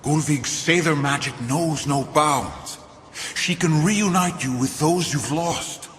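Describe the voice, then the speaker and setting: A man's voice: fairly high pitch, takes it slowly. An actor playing a mournful, pleading male spirit in a video game, beseeching in archaic phrases. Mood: coaxing, eerie. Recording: long ghostly reverb, faint noise.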